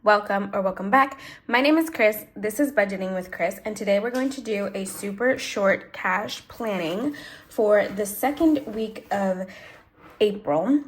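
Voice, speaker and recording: A woman's voice: mid-range voice, unhurried. Sniffly congested lady doing her cash budget, chatty, fixes herself mid sentence, echoey room. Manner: chatty, self-correcting, thinks aloud while counting. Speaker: budgeting channel host. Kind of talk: casual cash-stuffing walkthrough. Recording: echoey room, faint noise.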